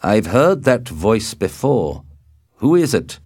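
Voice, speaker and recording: A proud, hot-tempered young nobleman bent on revenge, acted by a male narrator. He speaks with deliberate indignation in a slow, animated storybook delivery. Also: fairly low pitch, husky, no echo, studio quality, pitched above the performer's own voice, full character voice. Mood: indignant, vengeful.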